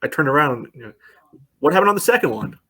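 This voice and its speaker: A man's voice: a little high, big pitch swings, quick pace, pushed and bright, husky, low-quality recording. A man co-hosting a casual soccer podcast, talking at length with many "you know" fillers. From United States. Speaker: podcast co-host. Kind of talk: casual sports chat.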